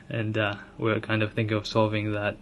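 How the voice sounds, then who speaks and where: A young man from Ethiopia telling his own story, soft-spoken and reflective, with frequent restarts and light laughs. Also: fairly low pitch, completely flat delivery, normal speed, slight background noise. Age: young adult. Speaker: interviewee sharing his immigrant story. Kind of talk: personal storytelling.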